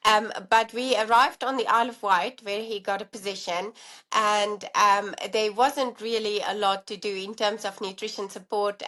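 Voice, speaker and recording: A woman's voice: medium pitch, fairly bright tone. South African woman in a podcast chat. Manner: friendly, explanatory, with many fillers. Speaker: guest, allergy dietitian and professor. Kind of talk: podcast interview.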